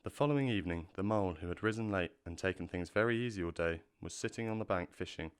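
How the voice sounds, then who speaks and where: A man giving scripted storybook narration in a measured, literary way, as narrator of an audio drama. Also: low-pitched, smooth.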